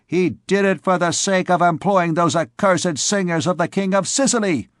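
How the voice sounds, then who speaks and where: A man voicing a sharp-tongued old woman, a fishwife grumbling from the crowd in an old storybook tale. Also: high-pitched, moderate pace, dry recording, studio quality, voice pushed up, close to an everyday voice. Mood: indignant. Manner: sharp, complaining.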